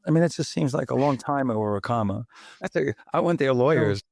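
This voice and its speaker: Gravelly New man hosting. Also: medium pitch, fast talker, no echo, clean studio sound. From the Bronx, New York. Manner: animated, chatty, many fillers and restarts. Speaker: podcast host. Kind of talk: conversational interview.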